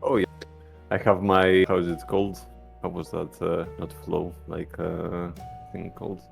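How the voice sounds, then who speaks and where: Really deep gravelly guy at game table, halting, hunting for words, faint music. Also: completely flat delivery.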